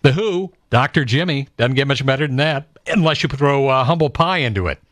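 A middle-aged American man hosts classic rock radio in a conversational, upbeat way. His voice sits at a middle pitch. The studio sound is close and very dry, with no room around it. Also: expressive, fairly quick, fairly bright tone, no room sound. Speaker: radio DJ and show host. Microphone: close studio broadcast microphone.

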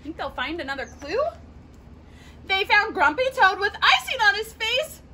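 Very high, animated young woman reading to children. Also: moderate pace, a little hiss or hum. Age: young adult. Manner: animated sing-song delivery with exaggerated expression. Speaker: storytime reader at a children's park. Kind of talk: children's picture book read-aloud. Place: outdoors in a leafy garden.

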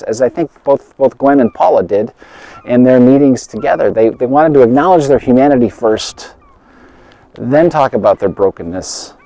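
A middle-aged man preaching a video sermon outdoors, earnest and halting, restarting phrases and rising in emphasis. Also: mid-range voice, dark tone, poor audio. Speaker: pastor. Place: outdoors by a snowy lakeshore in winter.

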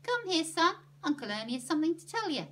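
A woman's voice: mid-range voice, big pitch swings, a little slow, fairly bright tone, slight background noise. A woman voicing a bossy, no-nonsense aunt with a firm, commanding manner. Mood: brisk. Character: bossy, no-nonsense aunt who lays down rules.

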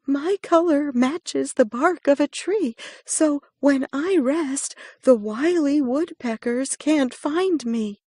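A woman voicing a small, calm brown moth in a storybook reading, gentle and matter-of-fact. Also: high voice, takes it slowly, few pauses, dry recording, studio quality, voice pushed up.

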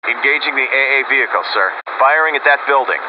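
An actor playing a dutiful anti-air vehicle soldier in a video game, reporting in clipped, formal military phrases over radio. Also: male voice, mid-range voice, expressive, quick pace. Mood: businesslike, alert. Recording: muffled radio with loud vehicle noise.

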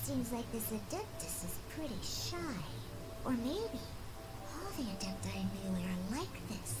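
A woman's voice: a little high, very animated, measured pace, heavy background noise. A woman voicing a feisty little cat-girl bartender in a game, haughty, indignant and childlike. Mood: curious, sassy.

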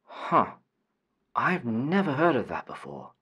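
A man's voice: medium pitch, huge pitch swings, slow pace, sharp tone, slightly rough, full character voice. A man playing an earnest, hesitant caller to an advice show in a naturalistic audio drama, mild in manner. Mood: receptive, sheepish.

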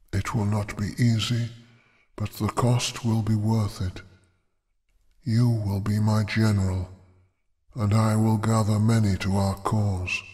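A man's voice: on the low side, a little mellow. A man voicing an ancient dragon goddess. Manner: slow, measured, otherworldly. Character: ancient imprisoned female dragon goddess, commanding.

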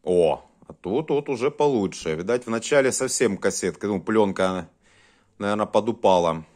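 A man giving casual hands-on commentary as a video host, talking haltingly in short muttered phrases. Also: fairly low pitch, expressive, a little mellow. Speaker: host of a cassette deck test vlog.

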